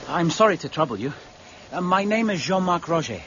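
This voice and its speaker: An actor playing an idealistic, courteous young painter, polite and earnest in a period drama. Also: male voice, on the high side, animated, brisk, a bit bright. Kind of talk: period radio drama acting. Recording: dull recording with faint noise.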